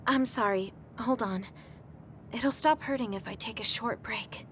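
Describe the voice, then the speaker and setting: A woman playing a sweet, guileless young woman in a video game, speaking gently and politely. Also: on the high side, unhurried, a little hiss or hum. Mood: worried, sincere. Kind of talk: English video game voice acting. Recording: faint music behind the voice.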